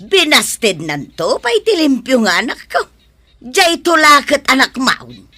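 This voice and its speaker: A woman's voice: sits high, very animated, measured pace, pushed and bright, no echo, heavily acted. An actress playing a meddling, skeptical neighbour woman in a radio drama, mocking and incredulous. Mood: scornful.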